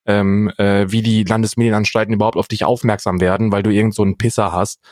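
A man's voice: on the low side, level tone, fast, a little mellow, dry recording, studio quality. A man chatting casually as a podcast co-host in a blunt, colloquial way.

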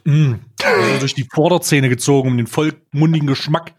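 Higher-pitched man, jokey podcast host. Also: normal speed, breathy edge. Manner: jokey, informal, slangy. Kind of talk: casual comedic podcast chat.

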